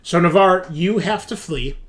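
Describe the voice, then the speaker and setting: A man's voice: sits high, measured pace, fairly bright tone. A man running a tabletop roleplaying game as game master, explaining rules and procedure step by step. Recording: clearly noisy background.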